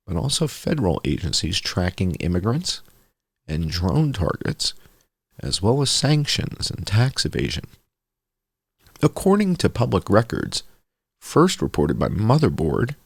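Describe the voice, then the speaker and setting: Low-voiced, gravelly man reading aloud. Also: no room sound. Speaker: host reading an article aloud. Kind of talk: scripted narration, read-aloud journalism.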